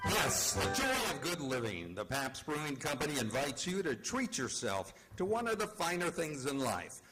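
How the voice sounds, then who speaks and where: An American man as an old-time radio announcer, polished and formal. His slightly high voice moves in lively swoops over loud music. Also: normal speed. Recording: broadcast with loud music behind.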